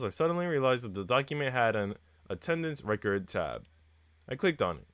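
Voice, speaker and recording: A man's voice: on the low side, a bit bright, no echo. A man on a live stream, reading visual novel text aloud and chatting casually with viewers. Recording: noisy mic with typing sounds.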